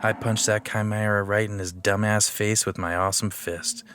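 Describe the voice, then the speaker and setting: An actor playing a cocky, self-adoring adventurer in a comedic fantasy audio drama. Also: male voice, lowish voice, level tone, moderate pace, fairly bright tone, a little hiss or hum, natural voice. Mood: boastful storytelling. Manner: boastful, swaggering, self-satisfied. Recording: busy sound effects behind voice.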